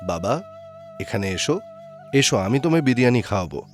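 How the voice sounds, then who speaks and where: A man voicing a kind, generous, poor biryani seller in a cartoon story, with a gentle, earnest manner. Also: medium pitch, sing-song, brisk, soft background music, lightly acted. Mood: kindly.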